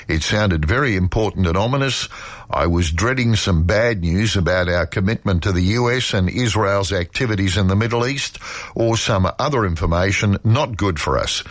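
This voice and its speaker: A man with a low voice and an Australian accent hosts talkback radio. He speaks conversationally, with some hesitation, close on a dry studio microphone. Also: no echo. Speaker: radio talk show host. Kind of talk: talkback radio presenting, reading listener messages.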